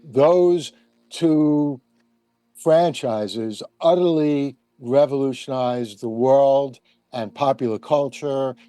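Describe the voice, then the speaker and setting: A man's voice: mid-range voice, slow pace, warm tone, no echo. A man giving opinions as a guest in a casual roundtable discussion, with emphatic asides.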